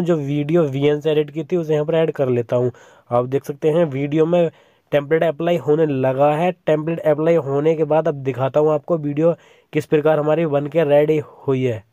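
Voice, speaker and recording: A man's voice: on the high side, no room sound, studio quality. A young man, giving step-by-step instructions as a tutorial channel host over a screen recording of his phone. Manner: steady step-by-step instructions, repeats "simple" often.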